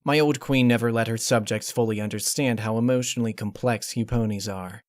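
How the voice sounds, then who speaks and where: A man's voice: mid-range voice, steady tone, brisk, no echo. A man narrating a scripted first-person story as a fan fiction reader.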